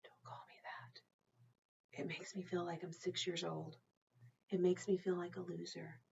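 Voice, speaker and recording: A woman's voice: on the low side, flat delivery, unhurried, creaky, darkish tone, slightly rough, muffled recording, heavily acted. Woman reading as a shy, downcast young boy. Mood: quietly hurt. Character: shy, downcast eleven-year-old boy.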